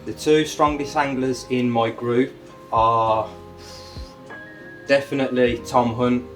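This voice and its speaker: A man's voice: mid-range voice, steady tone, slow pace. A man from Birmingham giving brief, matter-of-fact interview answers. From Birmingham, England. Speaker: angler competitor. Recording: distant mic, faint music behind.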